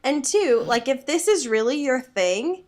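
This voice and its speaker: A woman co-host talks through a book in a loose, conversational way. Her delivery is highly animated, with lively swings in pitch, and her commentary is sarcastic and exasperated, with some swearing. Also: measured pace. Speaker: podcast co-host. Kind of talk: casual book discussion chat.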